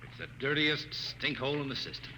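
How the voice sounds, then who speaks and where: A man's voice: medium pitch, steady tone, a little fast, pushed and bright, a little hiss or hum. An actor playing a cynical spaceman narrator and loyal friend, blunt and sardonic in a 1950s radio drama. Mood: gruff. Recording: old radio broadcast over music.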